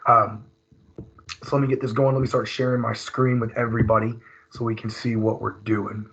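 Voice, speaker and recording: A hoarse man, leading a recorded teacher training seminar in a conversational way, with many fillers and restarts. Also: medium pitch, little pitch movement, brisk, fairly bright tone. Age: 30s. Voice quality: raspy. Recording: dull lo-fi screen recording.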